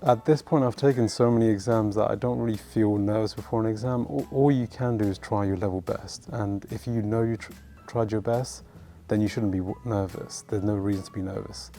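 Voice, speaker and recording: A low, mellow male voice with little rise and fall. He is a medical student from England, answering interview questions with calm, matter-of-fact advice. Soft music plays faintly behind him. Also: brisk.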